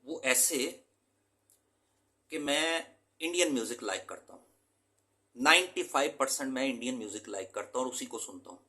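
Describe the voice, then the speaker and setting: Bright, emphatic male English teacher. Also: medium pitch, animated, normal speed, pauses often. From Pakistan. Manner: earnest, emphatic, mixes in English terms. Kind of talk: motivational lecture to students.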